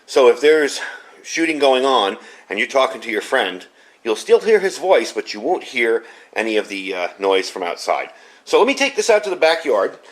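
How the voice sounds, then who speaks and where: A man with an average-pitched voice walks through gear in a casual review. He explains informally and rambles a little, talking indoors at home. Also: big pitch swings, moderate pace. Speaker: product reviewer.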